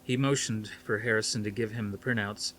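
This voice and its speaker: A man's voice: on the low side, steady tone, fairly quick, fairly bright tone, slight background noise, low-quality recording. A man reading a novel aloud as scripted audiobook narration. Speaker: audiobook reader.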